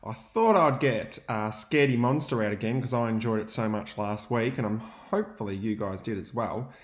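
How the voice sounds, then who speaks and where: A young adult male teacher, reading a picture book aloud to young students and pausing to ask them questions. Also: on the low side, roomy sound. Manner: gentle, expressive storytelling with pauses to ask questions.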